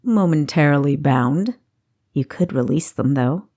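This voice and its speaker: A woman voicing a cocky, smooth-talking young male thief in a fantasy story, sly and boastful. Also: low voice, expressive, measured pace, warm tone, pitched below the performer's own voice. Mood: sly, goading.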